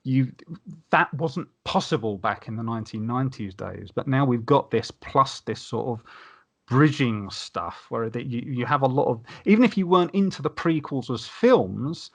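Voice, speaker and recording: A man's voice: mid-range voice, animated, moderate pace. Male podcast panelist, mid-range voice, casual, enthusiastic, long-winded explainer.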